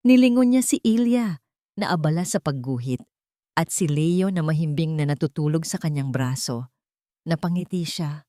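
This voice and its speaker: Woman narrating a drama, low dry voice. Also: dark tone, no echo. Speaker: narrator of romantic drama stories. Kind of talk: scripted dramatic audio story narration.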